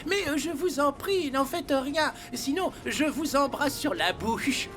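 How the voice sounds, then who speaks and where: A man voicing a clumsy, flustered thief disguised as a lady in an animated comedy film. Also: very high voice, measured pace, over music.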